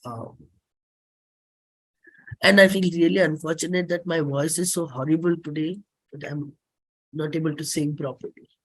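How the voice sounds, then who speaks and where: A woman with an Indian accent performs a dramatic reading of a personal stage script, speaking expressively in short, deliberate phrases with pauses. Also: deep voice, fairly even intonation, husky, rough recording. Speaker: classical singer and stage performer.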